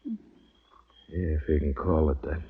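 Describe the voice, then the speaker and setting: An American man playing a grim, steady frontier marshal in a radio western, speaking in a terse, measured way. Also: extremely low pitch, breathy edge, heavily acted. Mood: grim, businesslike. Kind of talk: 1950s radio western acting. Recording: muffled, noisy vintage radio recording.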